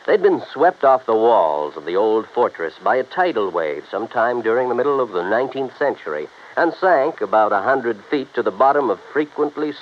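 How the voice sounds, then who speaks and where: Casting: male mid-century radio announcer, formal and earnest, lively inflection, muffled old recording. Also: mid-range voice. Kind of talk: scripted narration, 1950s radio announcing. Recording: dull, faintly noisy vintage radio recording.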